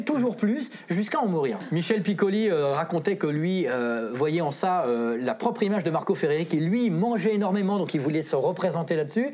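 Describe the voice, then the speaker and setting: Female film columnist for a geek panel show: low, raspy-edged voice, casual and emphatic. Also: a little mellow. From of Italian origin. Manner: emphatic, repetitive, slangy. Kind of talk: animated chronicle in casual panel talk.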